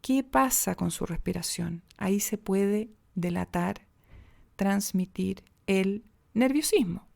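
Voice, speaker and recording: A Chilean woman teaches diction in a podcast lesson, her voice dark and mellow with a gravelly edge. She shows pauses and tempo shifts as she goes, swinging her pitch and pace to make each example. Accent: Chilean Spanish. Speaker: speech and diction coach.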